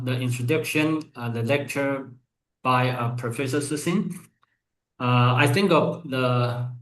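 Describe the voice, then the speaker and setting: A polite, hesitant man from Taiwan speaks up in a webinar, stopping often to search for words. His mid-pitched voice comes over a video call with a roomy echo around it. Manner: hesitant, polite, many fillers. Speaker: PhD student asking a question. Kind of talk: webinar question.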